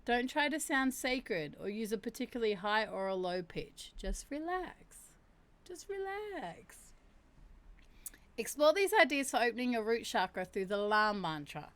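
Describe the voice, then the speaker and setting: A woman with a deep voice hosting a livestream, chatting casually and reading meditation instructions aloud. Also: animated, fairly bright tone. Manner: chatty, laughs and reacts to chat; slows down to chant drawn-out syllables. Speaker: livestream host. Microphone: streaming mic.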